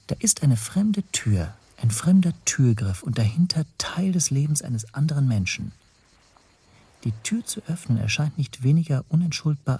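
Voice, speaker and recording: An anxious man telling his own story in a radio play. His voice is very husky and mellow, somewhat low, often sinking toward a whisper as he broods and doubts himself. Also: heavily acted. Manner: brooding, introspective, hushed. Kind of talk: first-person radio play narration.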